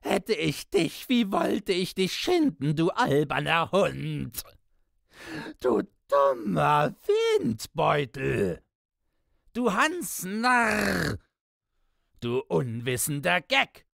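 Frantic, shrieking, enraged ranter. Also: male voice, on the high side, sing-song, extremely slow, gravelly, a bit bright, no room sound, studio quality, voice pushed up, full character voice. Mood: frantic fury. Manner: bellowing, ranting in exclamations and insults. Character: enraged, indignant man screaming helpless curses. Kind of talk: dramatised literary storytelling.

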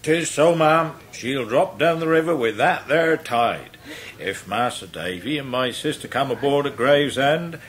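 Rustic English old seafarer. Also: male voice, mid-range voice, expressive, unhurried, a bit bright. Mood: homely, respectful. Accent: rural English. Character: plain-spoken, kindly older seafaring man. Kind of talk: 1990s radio drama acting.

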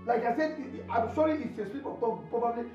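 An actor with a Nigerian accent playing an excitable, fickle friend in a comic film, exclaiming, repeating himself and stammering. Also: male voice, very high voice, quick pace, echoey room, highs cut off, faint music behind. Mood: flustered, placating. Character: excitable, food-loving male friend, comically fickle peacemaker. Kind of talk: broad comic film acting.

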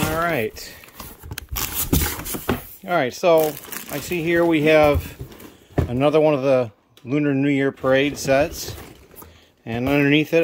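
A man's voice: mid-range voice, noisy background. A man talking casually to his camera as he unpacks boxes, thinking aloud with lots of fillers and restarts. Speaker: vlogger, toy brick reseller. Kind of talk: casual vlog narration. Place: cluttered basement storage room, indoors.

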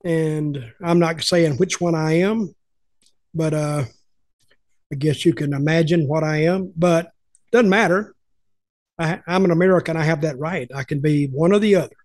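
A man's voice: a little high, darkish tone, no room sound, clean studio sound. An older man, telling folksy, opinionated stories in casual chat over a video call from home. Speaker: podcast host and storyteller.

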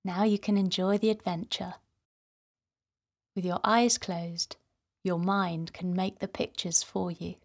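Slow, soothing woman guiding children's relaxation. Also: fairly low pitch, level tone. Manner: gentle, soothing, breathy soft delivery. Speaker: children's guided meditation host. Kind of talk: guided relaxation narration for children.

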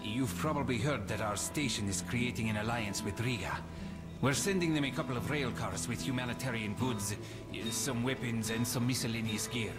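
A male actor plays a middle-aged father figure running an underground station in a game. His mid-pitched voice is measured, caring and cautious, with very loud music behind it. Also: lightly acted. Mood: fatherly, cautioning. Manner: measured, paternal. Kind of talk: video game voice acting.

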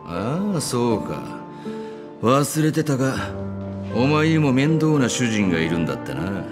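A man voicing a cynical, rough-edged middle-aged security officer in a video game, talking coarsely with a sardonic drawl. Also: mid-range voice, very animated, measured pace, over music. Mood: taunting. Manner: coarse casual speech, lazy sardonic drawl. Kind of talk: dramatic video game voice acting.

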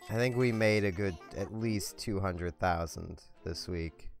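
A young man with a hoarse, scratchy voice from a sore throat, rambling casually while playing a game. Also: sits low, unhurried. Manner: rambling, chatty. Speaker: let's play host. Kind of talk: casual gameplay commentary. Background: faint game music.

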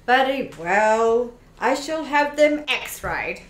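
An actress playing an officious, sceptical government clerk in a radio play, recorded in a roomy space. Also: female voice, a little high, lively intonation, very slow, slight background noise. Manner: formal, officious. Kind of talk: old-time radio play acting. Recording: roomy echo.

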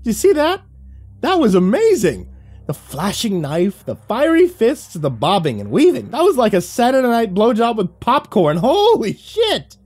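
A tiny foul-mouthed squirrel chatters in a shrill, gleeful squeak. His voice leaps way up high and bounces around. Faint music hums under a dry, close storybook reading. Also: huge pitch swings, normal speed, darkish tone, breathy edge, dry recording, full character voice.

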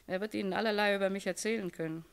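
Woman acting a hard-boiled shop owner lady in a radio crime play, world-weary, brusque, medium pitch. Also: steady tone, very fast, creaky, smooth, natural voice. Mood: curt, defensive.

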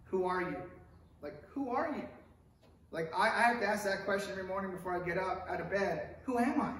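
A man's voice: sits high, brisk. Middle-aged guy preaching in a church, talks with his hands, lots of echo, faint hum behind. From Hollywood, California. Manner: animated, wide hand gestures, asks the congregation questions. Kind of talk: conversational sermon. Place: church sanctuary with stone wall, at a lectern. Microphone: stand mic at the lectern, camera far off. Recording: very echoey sanctuary from far off.

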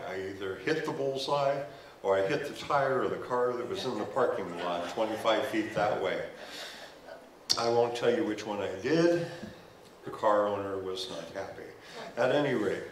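An older man preaching as pastor on a church stage into a headset mic, conversational with humorous asides. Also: on the low side. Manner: gestures while walking the stage. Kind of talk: sermon. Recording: roomy church sound from a distance.

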